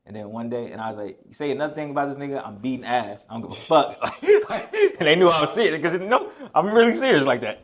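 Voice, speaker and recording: A young man hosting a podcast talks quickly, piling up slang and profanity as he tells his stories. His voice sits at an ordinary middle pitch, and he moves fast from one story to the next. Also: expressive. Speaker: podcast host. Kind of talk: casual podcast chat.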